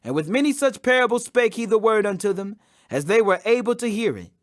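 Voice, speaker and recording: Man, very high voice, animated intonation, emphatic scripture audiobook reading, dry close sound. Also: fairly quick, no room sound. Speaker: Bible reader.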